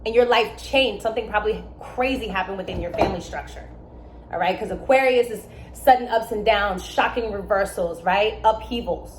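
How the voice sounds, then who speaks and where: A young adult woman, born in Germany and raised in America, explaining astrology in a casual, emphatic way with lively asides. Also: mid-range voice, moderate pace, a little hiss or hum. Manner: animated, emphatic, with asides and laughs. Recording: echoey room with faint ambience.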